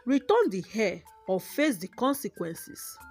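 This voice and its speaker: A woman's voice: mid-range voice, sing-song, a little slow, breathy edge, faint music behind, exaggerated voice. Woman acting a firm village friend. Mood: cold, demanding. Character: loyal, determined young village woman confronting a witch. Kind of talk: folktale character voice.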